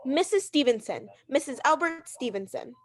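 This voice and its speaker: A high-pitched, fretful woman, played by an actress, as a bedridden wife in a 1940s-style radio thriller. She is neurotic and imperious, pressing her points insistently and stammering when challenged. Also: animated, moderate pace. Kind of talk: 1940s radio drama acting.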